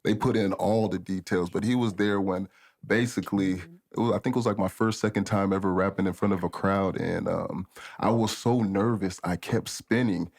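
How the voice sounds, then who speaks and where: A man from Africa, a podcast guest, telling long stories in a relaxed, rambling way with many fillers. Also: low-pitched, moderate pace. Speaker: podcast guest, studio owner. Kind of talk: casual storytelling chat.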